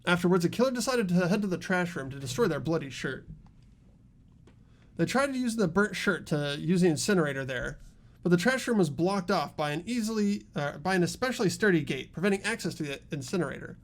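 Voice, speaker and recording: A man voicing an earnest high school boy in a mystery game, explaining a case in a deliberate, dramatic way. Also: expressive. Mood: grave, determined. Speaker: amateur voice actor in a game playthrough.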